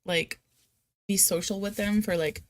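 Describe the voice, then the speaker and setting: A woman's voice: medium pitch, fairly even intonation, unhurried, no room sound. A woman with a Rhode Island accent hosting a casual live chat, talking in a chatty, self-deprecating, giggly and playfully dramatic way. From United States, Rhode Island. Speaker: live stream host of reading sprints.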